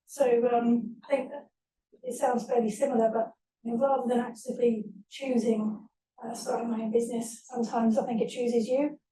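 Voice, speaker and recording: A woman's voice: on the high side, fairly even intonation, moderate pace, noisy background. A woman speaking on a panel as a tech founder, businesslike, with frequent ums and restarts. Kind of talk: panel talk. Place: event hall. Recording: echoey room, distant mic.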